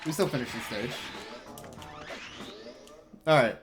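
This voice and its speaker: A male speedrunner chats casually through a live run, full of dry jokes and sarcasm. Game music plays clearly behind his voice, and his pitch sits in a middle range. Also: takes it slowly.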